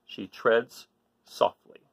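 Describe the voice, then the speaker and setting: A man reading a children's book aloud in a theatrical, emphatic way, drawing out phrases for suspense. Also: slow. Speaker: storybook reader for children.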